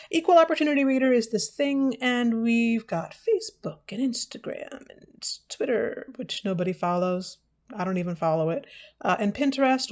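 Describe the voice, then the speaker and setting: An American woman hosting a book channel, talking casually and off the cuff to viewers in a wry, self-deprecating, digressive way. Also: on the high side. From United States. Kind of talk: casual, unscripted vlog talk to viewers.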